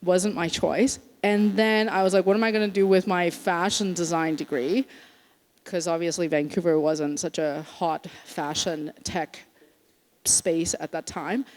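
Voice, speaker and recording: A woman's voice: fairly low pitch, darkish tone. A woman from Canada, a startup founder chatting on a conference panel and restarting her sentences as she goes. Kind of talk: casual panel talk. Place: conference stage. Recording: reverberant hall.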